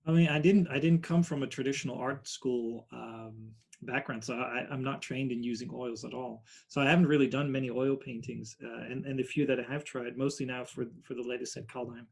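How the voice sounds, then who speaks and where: A man with a Dutch accent answering interview questions thoughtfully over a video call, often trailing off quieter. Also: mid-range voice, steady tone, brisk, creaky. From Netherlands. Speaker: guest artist.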